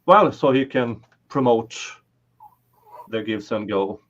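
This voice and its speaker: Swedish man with a Swedish accent, host of a video-call livestream, unhurried and halting, full of fillers and restarts, with a mid-range voice. The audio comes through slightly dull and lo-fi. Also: mid-range voice, fairly bright tone. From Sweden. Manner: hesitant, many fillers and restarts. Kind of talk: casual livestream chat.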